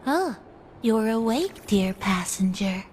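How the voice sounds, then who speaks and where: A woman voicing a kindly, gently teasing cafe attendant in a video game, with music playing behind her. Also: mid-range voice, lively intonation, takes it slowly, slight background noise. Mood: gentle. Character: playful, kindly female cafe attendant addressing a passenger. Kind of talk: video game character dialogue. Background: game background music.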